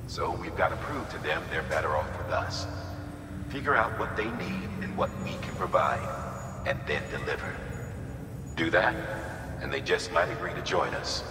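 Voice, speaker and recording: A man voicing a stern commander giving formal, measured mission briefings in a video game. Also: deep voice, background noise. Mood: firm, businesslike. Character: stern, authoritative male commander briefing a courier.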